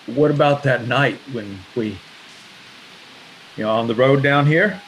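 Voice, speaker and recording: A man's voice: medium pitch, measured pace, natural voice. A man playing a persistent survivor who pines for his friend's wife, coaxing and casual, in an online table read. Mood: hesitant, pleading. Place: video call. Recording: noisy online video call.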